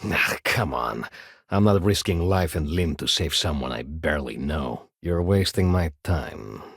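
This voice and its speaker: A man voicing a wary, fussy luxury goods merchant in a video game, with husky, polite and formal speech. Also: rumbling low, lively intonation, measured pace, no echo, clean studio sound, heavily acted. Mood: irritated, firm. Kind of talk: game character dialogue lines.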